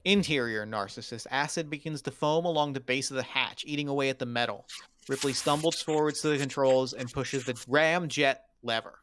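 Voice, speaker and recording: A man's voice: medium pitch, brisk, fairly bright tone, slight background noise. A man reading screenplay stage directions aloud as dramatic narration at a table read.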